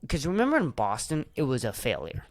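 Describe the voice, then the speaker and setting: A man's voice: mid-range voice, wildly swooping pitch, brisk, dry recording. Rambling young man, dry close mic. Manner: loose, swearing, rambling with many fillers. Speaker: podcast co-host. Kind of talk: casual chat.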